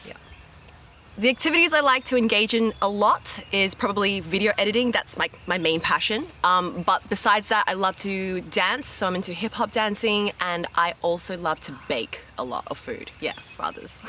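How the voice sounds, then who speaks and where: A woman's voice: medium pitch, animated, moderate pace, bright, forceful tone, slight background noise. A woman, chatting casually as a reality show contestant, upbeat with lots of fillers and restarts. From Australia. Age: 20s. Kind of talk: casual interview answers.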